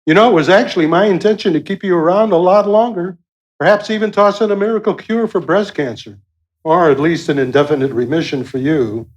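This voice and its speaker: An actor in a radio play playing a wry, affectionate God, folksy and teasing as he gently chides. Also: high-pitched, brisk, natural voice, rough recording. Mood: earnest, gentle.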